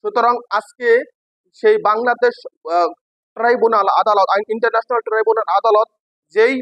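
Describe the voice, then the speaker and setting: A man's voice: sits high, little pitch movement, a little fast, fairly bright tone, dry recording, highs cut off. A man from Bangladesh with a Bangladeshi accent making an impassioned, emphatic political statement as an expatriate. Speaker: expatriate giving his opinion.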